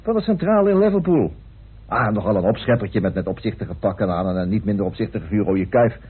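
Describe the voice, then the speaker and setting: A man's voice: on the low side, animated, fast talker, breathy edge. An actor playing a self-assured, talkative, persuasive man in a radio drama. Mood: brisk, jovial. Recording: muffled, narrow-band old radio recording.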